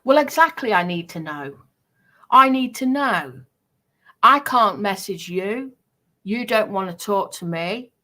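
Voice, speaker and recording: A woman's voice: medium pitch, expressive, unhurried, bright, forceful tone. A British woman streamer talking casually and unscripted, in a defensive, insistent manner. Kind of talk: casual unscripted livestream talk.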